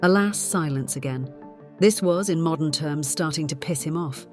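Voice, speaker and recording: Female audiobook narrator, slightly low pitch, dramatic scripted storytelling, clear music bed behind. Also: moderate pace.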